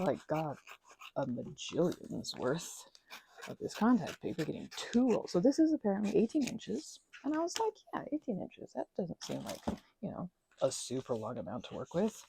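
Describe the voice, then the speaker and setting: Soft, gravelly woman with a put-on Scottish lilt. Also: mid-range voice, a little hiss or hum, poor audio. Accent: playful put-on Scottish. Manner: drifts between soft talk and whispers, chatty and self-deprecating. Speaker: ASMR crafter. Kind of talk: rambling soft-spoken ASMR craft chat.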